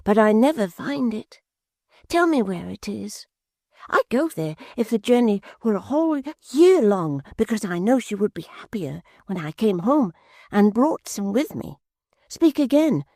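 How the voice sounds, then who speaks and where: A woman's voice: mid-range voice, sing-song, unhurried, dark tone, no room sound, clean studio sound, voice pushed up. A woman voicing an eager, simple-minded young man who talks in wondering, pleading bursts. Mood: dreamy pleading.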